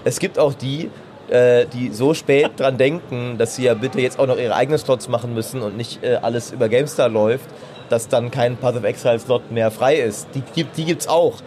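A man's voice: mid-range voice, moderate pace, darkish tone. A man in his thirties chatting casually and playfully as a games journalist on a trade fair floor, with many fillers and restarts. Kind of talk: casual chat.

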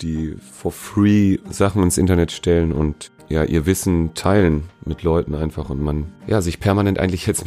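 Interviewee: man, notably low voice, casual colloquial delivery, faint music in the background. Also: a little mellow. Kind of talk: casual chat, answering interview questions. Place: at home.

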